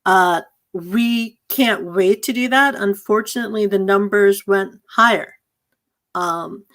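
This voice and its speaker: A woman's voice: medium pitch, slow pace, a bit bright. Woman, panel host, casual discussion, chatty, informal, mid-range voice, video call. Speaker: host of a virtual theatre talkback.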